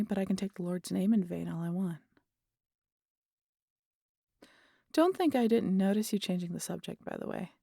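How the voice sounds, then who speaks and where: An actress as a sardonic female vampire in an intimate audio roleplay. Her voice is gravelly with vocal fry and recorded very dry and close. She teases and scolds in a casual, sweary way, protective beneath the sarcasm. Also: medium pitch, no room sound. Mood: wry, casual. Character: teasing, sardonic immortal female vampire, protective of wounded hunter.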